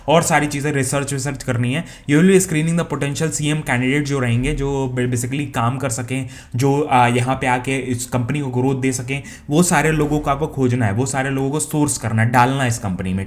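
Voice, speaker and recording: A young man from India with an Indian accent, explaining remote job listings in a casual, conversational way, often restarting and checking in with the viewer. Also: medium pitch. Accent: Indian English. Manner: conversational, frequent restarts and "theek hai?" check-ins. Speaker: career advice YouTuber. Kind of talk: casual explainer talk, Hindi-English mix.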